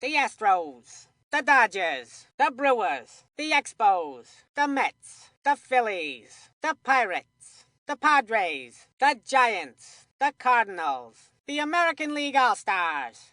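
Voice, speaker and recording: A woman voicing an excitable, folksy cartoon baseball commentator for a kids' sports video game. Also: a little high, takes it slowly, hardly any pauses, no echo, exaggerated voice. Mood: flat announcing.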